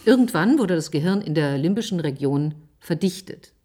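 An actress playing an assertive, methodical scientist in a radio drama, speaking formally, precisely and insistently. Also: female voice, deep voice, lively intonation, moderate pace, dark tone. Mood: firm, explanatory. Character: assertive, methodical female scientist presenting her theory. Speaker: actor in a radio play. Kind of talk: German radio drama acting.

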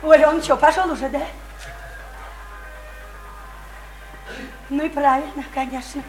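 A woman's voice: high-pitched, fairly even intonation, fast talker, natural voice. An actress playing a distraught, feverishly eager young provincial woman in a Russian radio play, with an emotional, pleading delivery. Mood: hurried, wistful. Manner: emotional, pleading, swinging from chatter to wailing. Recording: roomy radio play recording, faint music.

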